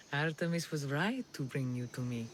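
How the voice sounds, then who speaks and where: Low-voiced, alluring huntress priestess. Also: female voice, a little hiss or hum. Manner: stately, poetic, measured. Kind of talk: video game cutscene acting.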